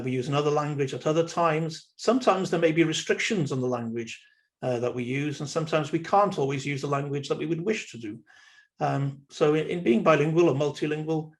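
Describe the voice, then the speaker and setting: A man with a Welsh accent gives an online lecture, thinking aloud as he goes. His voice sits at a middle pitch, and his speech is unhurried and dotted with uhs and ums. He sounds like he is recorded on a computer mic for a webinar. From Wales. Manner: measured, thoughtful, many ums and uhs. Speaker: university lecturer.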